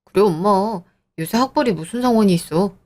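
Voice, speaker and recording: A woman voicing a young man, a fiancé who soothes and smooths things over in an audio drama. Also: mid-range voice, fairly quick, warm tone, close to an everyday voice. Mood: placating. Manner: soothing, placating. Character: young adult man, gentle peacemaking fiancé.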